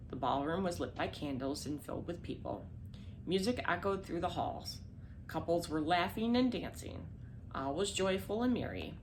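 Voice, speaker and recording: Female storyteller, lowish creaky voice, children's fairy tale read-aloud with small slips. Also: a little hiss or hum, poor audio. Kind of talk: scripted children's storybook narration.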